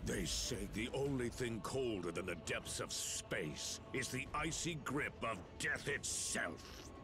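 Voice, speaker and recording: An actor playing a grim, menacing alien warlord in a video game, speaking in a grandiose, theatrical way. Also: male voice, mid-range voice, lively intonation, slow. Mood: grim, fervent. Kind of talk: video game villain acting. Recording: loud music over the voice.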